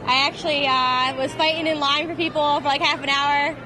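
A young woman being interviewed in a busy indoor mall, talking in a chatty, rushed way with lots of fillers. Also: sits high, steady tone. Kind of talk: casual street interview. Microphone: handheld reporter mic. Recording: noisy crowded mall.

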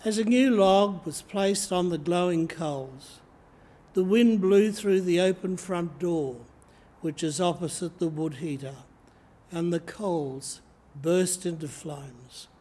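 An older man in his seventies with an Australian accent, preaching a sermon from the lectern of a church and reading slowly and deliberately from his notes. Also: high voice, mellow. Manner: slow, measured reading from notes. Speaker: priest. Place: church sanctuary, indoors. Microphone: lectern microphone, camera in front.

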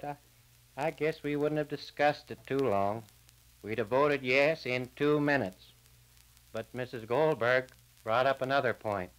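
An actor playing an earnest small-town man delivering a verdict in a measured, plain-spoken way, on a crackly 1940s radio drama disc. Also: mid-range voice, fairly bright tone, close to an everyday voice.

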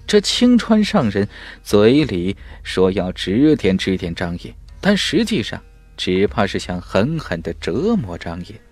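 A man's voice: fairly low pitch, huge pitch swings, a little slow, darkish tone, no echo. A man reading dramatic scripted fantasy narration as an audiobook narrator. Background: faint music.

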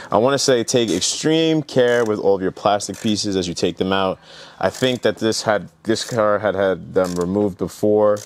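A man's voice: sits low, slight background noise. A young man talking casually and explaining hands-on work, as in a DIY car vlog, into a clip-on mic. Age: young adult. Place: indoor garage, partly inside car. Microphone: clip-on lavalier.

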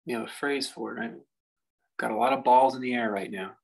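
A man leading a guided meditation as a teacher, speaking reflectively and leaving long pauses. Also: medium pitch, fairly even intonation, creaky, low-quality recording.

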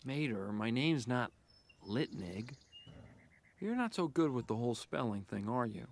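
A man voicing a cocky young race car in an animated game, with breezy, smug banter. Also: medium pitch, a little slow. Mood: friendly, teasing. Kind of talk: animated game cutscene acting.

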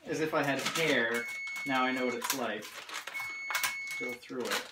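A man's voice: mid-range voice, a little slow, very noisy. Playful man cooking, roomy kitchen. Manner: playful, laughing often. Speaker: cooking show host. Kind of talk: casual cooking demo with friends. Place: small home kitchen. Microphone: far camera. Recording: roomy kitchen, camera far off.

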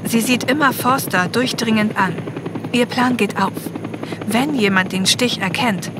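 A woman's voice: a little high, unhurried. A woman reading scripted story narration as the narrator of an audio drama. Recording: clear helicopter and effects noise behind. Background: ambience, helicopter, vehicles.

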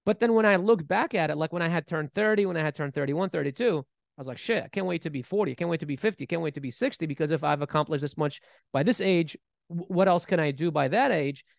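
A man in his thirties co-hosting a casual podcast, talking in an animated way with many restarts, recorded on a studio mic. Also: on the high side, fast talker, vocal fry, no room sound. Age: 30s. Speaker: podcast co-host. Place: bright studio room, seated in armchair. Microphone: boom-mounted studio mic.

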